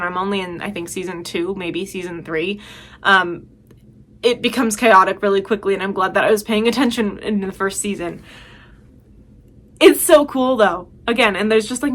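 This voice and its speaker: A woman's voice: mid-range voice, bright, forceful tone. A woman talking casually as a video host, giving chatty, emphatic and enthusiastic recommendations.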